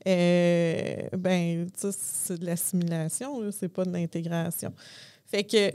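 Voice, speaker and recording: A woman's voice: on the low side, fairly even intonation, slow, darkish tone, clean tone, no echo. A woman from Abitibi-Témiscamingue with a Québécois accent, sharing her opinions on a panel with many hesitations. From Abitibi-Témiscamingue, Quebec. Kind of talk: panel discussion.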